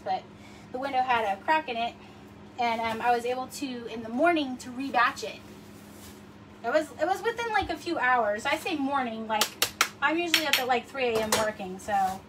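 A high-voiced woman in her forties chats her way through a livestream in her home kitchen, rambling with plenty of fillers. She sounds slightly sniffly. Her voice is a little distant from the phone, with faint room noise behind her. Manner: chatty, rambling, many fillers. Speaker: livestream host. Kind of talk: casual livestream demo. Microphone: phone camera across the room.

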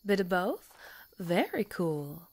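A woman's voice: lowish voice, very animated, takes it slowly, a little mellow, exaggerated voice. A woman playing a sweet, jittery, chatty young woman in an ASMR roleplay, with a girlish manner. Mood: friendly, chatty.

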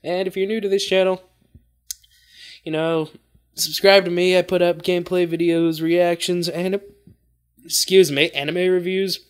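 A man's voice: high-pitched, fairly even intonation, unhurried, long pauses, smooth. A young man in his twenties giving casual reaction commentary to camera from a room at home. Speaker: reaction video host. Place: small bedroom indoors.

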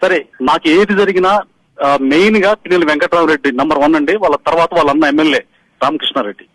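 Middle-aged man, high voice, fast urgent speech, phone caller, emphatic. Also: a bit bright, rough recording. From Andhra Pradesh. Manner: urgent, pressing, emphatic retelling. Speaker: caller, party polling agent. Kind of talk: phone-in testimony to the media. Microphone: phone call.

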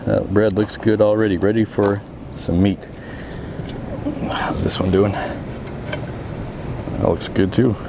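A man's voice: on the low side, little pitch movement, slow pace, creaky, long pauses. A man in his thirties chatting casually and informally as a fishing vlogger, with slangy exclamations. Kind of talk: casual outdoor vlog chat. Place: outdoors on rocky seashore. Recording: outdoor ocean surf noise.

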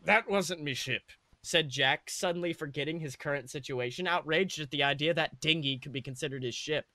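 A man's voice: fairly high pitch, fairly even intonation, a little fast, pushed and bright, dry recording. A man co-hosting a podcast, animatedly narrating comic fan fiction and chatting casually. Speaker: podcast co-host.

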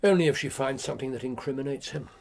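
A man playing an incisive, cool Victorian gentleman detective in a British radio drama, speaking in a clipped, precise way. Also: mid-range voice, fast, gravelly, soft background music, lightly acted. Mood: calm, assured. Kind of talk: BBC radio drama acting.

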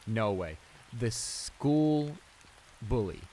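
A man's voice: fairly low pitch, drawn-out words, heavily acted. A man playing a shy, flustered school bully in an audio roleplay, stammering and hesitant as he apologizes and gets embarrassed. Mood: disbelieving. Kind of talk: intimate improvised audio roleplay acting. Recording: rain noise behind the voice. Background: steady rain.

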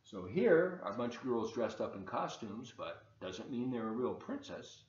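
A man in his seventies reading a children's storybook aloud in a folksy, expressive way with chatty asides to young listeners. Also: medium pitch, moderate pace. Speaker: volunteer storybook reader. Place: open living room and kitchen, indoors. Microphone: webcam at desk distance. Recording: dull, roomy home webcam recording.

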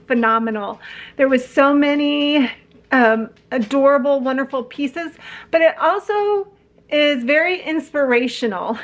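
A woman's voice: a little high, a bit bright. A woman in her forties chatting casually to a phone held at arm's length in her living room, friendly and rambling with fillers. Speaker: home decor vlogger. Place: living room at home, indoors. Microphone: phone camera at arm's length.

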